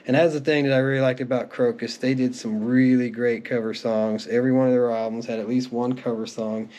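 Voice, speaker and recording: A man's voice: medium pitch, flat delivery, a little fast, darkish tone. A middle-aged man narrates a collection in a casual, unscripted, reminiscing way, as if chatting through it. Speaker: music collector presenting their collection. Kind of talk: casual show-and-tell narration. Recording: clearly noisy home recording with clicks.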